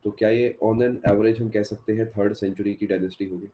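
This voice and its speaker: A man's voice: fairly low pitch, fairly even intonation, brisk, lo-fi sound. A male teacher with a sore throat giving a live exam-prep lecture, answering students from the chat by name and mixing in English terms.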